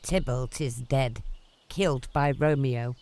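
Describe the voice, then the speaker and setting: An actress playing an anxious older nurse in a dramatized storybook, speaking in an emphatic, theatrical way. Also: female voice, very deep voice, takes it slowly, a little mellow. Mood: anxious, urgent. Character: Juliet's anxious older nurse and stern Lady Capulet.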